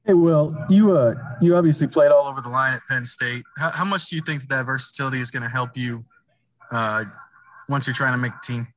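A man's voice: mid-range voice, fairly quick, slight background noise, rough recording. A man, a sports reporter, asking short, direct questions at a press conference.

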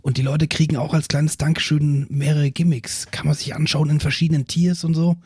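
A man with a breathy, husky voice bantering casually and offhandedly as a podcast host. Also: fairly high pitch, little pitch movement, brisk, a little mellow, no room sound, clean studio sound. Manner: laid-back, offhand. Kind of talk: casual improvised banter, mock-reluctant plug.

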